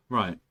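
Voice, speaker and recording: A man streaming from home, chatting casually and rambling, who often clears his throat and swears. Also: sits high, darkish tone, lo-fi sound. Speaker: live streamer. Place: indoors at home.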